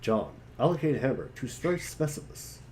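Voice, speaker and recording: A man's voice: medium pitch, very animated, normal speed, darkish tone, rough recording. A man reading short scripted command prompts in an amateur dramatic reading. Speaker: reader of commands.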